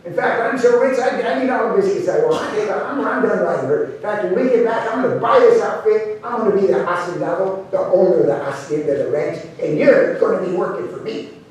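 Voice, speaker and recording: A boastful, stubborn cowboy acted by a male storyteller brags insistently in a high, swooping voice. The excitable delivery belongs to a live tale and echoes heavily around the room. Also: fairly quick. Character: boastful, stubborn young-hearted cowboy vaquero. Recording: very echoey room, distant mic, faint noise.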